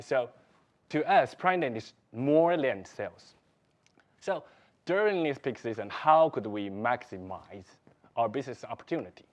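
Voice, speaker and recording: A man's voice: medium pitch, highs cut off. A man from Taiwan presenting to sellers as an ads marketer, speaking in a loose, chatty way and often checking in with the audience. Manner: non-native phrasing, frequent "right?" checks, loose and chatty. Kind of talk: conference presentation. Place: live event in Singapore.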